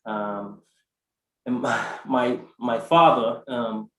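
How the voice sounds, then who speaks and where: A man's voice: mid-range voice, fairly even intonation, sharp tone. Ghana-born guy in his 40s, talks w lots of ums and restarts, echoey room. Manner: halting, many fillers and restarts. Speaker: art collector guest. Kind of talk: conversational interview answer.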